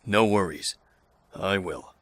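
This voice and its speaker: A man playing a grave survivor leader in an apocalypse audio drama. His voice is very low yet bright and firm, measured and formal as he presses people for results. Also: no room sound. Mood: earnest, persuasive. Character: serious male survivor leader, pragmatic, persuasive, pressing for results. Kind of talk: fan-made audio drama acting.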